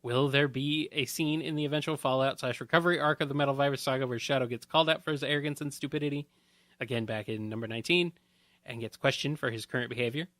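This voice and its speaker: A man's voice: medium pitch, steady tone, fast talker, a bit bright, a little hiss or hum. A man reading out audience questions. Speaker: question reader.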